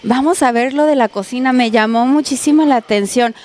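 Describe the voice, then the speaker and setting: A woman's voice: high voice, fairly quick, darkish tone. A woman in her forties from northern Mexico, reporting on location with a handheld mic and gushing with praise. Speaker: reporter host. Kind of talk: on-location food interview. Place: outdoor patio and restaurant interior. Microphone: handheld microphone.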